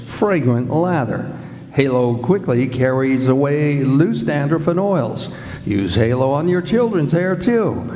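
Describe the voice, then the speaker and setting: An actor playing an upbeat old-time radio pitchman reading commercials in a 1950s advertising style. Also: darkish tone, close to an everyday voice. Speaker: commercial announcer. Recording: echoey hall, live stage performance.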